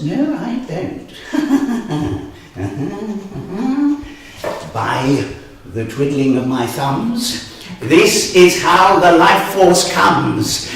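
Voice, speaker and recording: A man plays an eccentric old wizard, muttering to himself in a theatrical, comic fantasy manner. He takes his time, swinging widely in pitch between glee and grumbling. The sound is distant and noisy in a very echoey room. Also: creaky, a bit bright, full character voice. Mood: excited.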